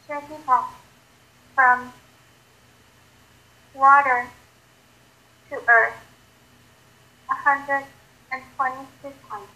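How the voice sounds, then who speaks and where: A woman with a high, sharp-edged voice answers in a guided visualization. She speaks very slowly and solemnly, setting out short statements a few words at a time with long gaps between them. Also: steady tone, poor audio. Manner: deliberate, declarative, long pauses between phrases.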